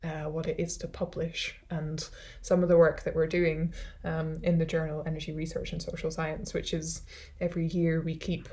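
A woman's voice: deep voice, completely flat delivery, normal speed, few pauses, mellow. A woman with a Scottish accent, a social science academic answering questions thoughtfully and self-deprecatingly in a conversational way after a talk. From Scotland.

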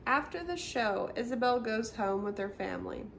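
A middle-aged woman reads a children's picture book aloud in an expressive storyteller's way. Her voice sits fairly high and has some creak in it. It is a home recording with clear background noise. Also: moderate pace. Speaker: storytime host reading aloud. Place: small indoor room by a brick wall, seated.

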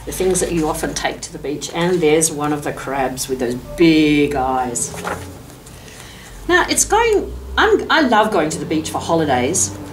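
Older Australian woman reading to children, distantly miked. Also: medium pitch. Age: 60s. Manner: addresses young children, explaining pictures and asking questions. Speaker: mayor reading a picture book to children. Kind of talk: children's storytime read-aloud with asides. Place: furnished office room indoors, seated. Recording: distant microphone, noticeable room background noise.

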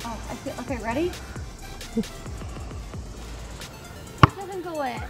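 A young woman vlogging outdoors in a park, slightly hoarse from losing her voice, talking casually with lots of fillers and apologies. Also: high-pitched, animated, slow. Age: young adult. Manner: giggly, filler-heavy, apologetic. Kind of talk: casual vlog talk to camera. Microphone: handheld camera mic, sometimes far camera. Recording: outdoor camera audio under background music. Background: background music.

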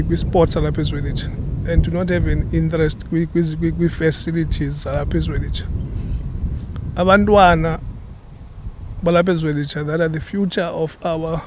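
A middle-aged South African man giving an earnest, measured on-camera statement outdoors, speaking into a handheld reporter microphone and switching between isiXhosa and English. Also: fairly high pitch, noisy background. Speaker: local businessman making a public appeal. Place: outdoors at an old sports stadium.

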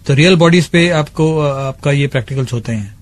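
A man's voice: a little high, fairly quick. A man asking questions as a radio interviewer.

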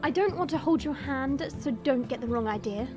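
A woman's voice: high voice, lively intonation, normal speed, over music. A woman playing a proud, flustered young dragon princess with haughty, formal denials in an audio drama. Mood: shy.

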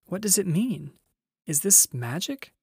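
A man's voice: medium pitch, normal speed, vocal fry, a little mellow, slightly rough, no room sound, studio quality. A man voicing a fearful, bewildered girl in a storybook, hesitant and questioning. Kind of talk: storybook character voice.

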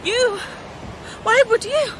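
A woman's voice: very high voice, slow. An actress playing a frightened, despairing accused woman in a radio play, fragile and hesitant. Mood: despairing, disbelieving. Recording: loud outdoor background noise.

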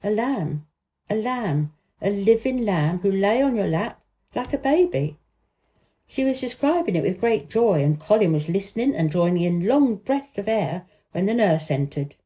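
Mellow-voiced woman narrating a storybook. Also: medium pitch, very animated, normal speed. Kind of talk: scripted narration of a children's novel.

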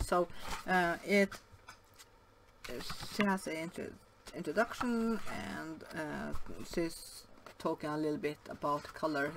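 A Norwegian woman, English not her first language, speaking in a casual vlog with many pauses, fillers and restarts. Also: fairly low pitch, background noise. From Norway. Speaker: yarn vlogger. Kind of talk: casual show-and-tell vlog. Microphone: camera above the table, hands only.

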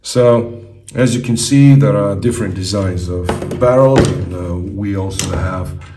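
A middle-aged man from Russia, a native Russian speaker, giving a casual, halting demonstration as an enthusiast presenter in his basement. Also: sits low, music in the background. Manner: halting, hesitant delivery. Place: indoor basement.

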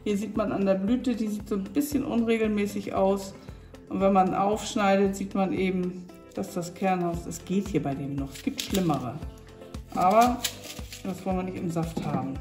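A woman's voice: medium pitch, steady tone, moderate pace, a little mellow, distant mic. A middle-aged woman, a juice maker, explaining her work in a casual interview. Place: small tiled juice-pressing workroom indoors. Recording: echoey tiled room, off-mic, faint music.

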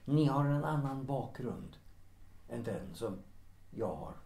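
An actor playing a resigned, deferential servant with quiet bitterness, formal and guarded, in a period audio drama. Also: male voice, medium pitch, big pitch swings. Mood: bitter, resigned. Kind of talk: period audio drama acting, 1930s setting. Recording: roomy, echoing sound.

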